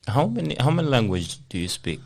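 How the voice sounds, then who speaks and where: A man's voice: mid-range voice, big pitch swings, fast talker, vocal fry, darkish tone. A man hosting a casual interview in English, which is not his first language. He is playful, laughs often and repeats words as he talks. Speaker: podcast host.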